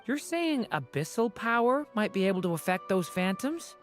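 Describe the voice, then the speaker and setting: An actor voicing an earnest, determined young adventurer in a video game, with an expressive delivery. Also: medium pitch, normal speed, close to an everyday voice. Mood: hesitant resolve. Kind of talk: dramatic video game voice acting. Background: faint game music.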